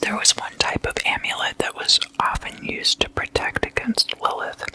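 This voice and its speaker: Hushed whispering ASMR host, very slow. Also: rough recording. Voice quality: breathy unvoiced whisper. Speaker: ASMR host explaining demon folklore.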